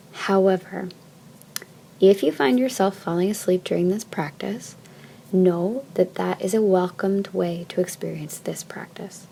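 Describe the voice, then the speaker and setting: Soft, mellow woman guiding deep relaxation. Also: creaky, slight background noise. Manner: soft, soothing, measured phrasing with long pauses. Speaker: yoga nidra teacher. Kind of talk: guided meditation, slow instruction.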